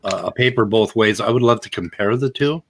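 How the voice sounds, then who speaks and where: A man with a lowish voice hosts a live stream. He talks over a debate clip, casual and blunt, and gives his opinions freely. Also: a little fast, fairly bright tone. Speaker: host.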